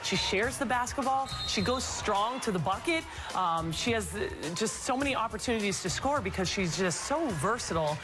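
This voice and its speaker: A woman's voice: medium pitch, expressive, moderate pace, hardly any pauses, over music. A woman in her forties, a former player giving enthusiastic, emphatic post-game analysis in a TV studio on a lapel mic.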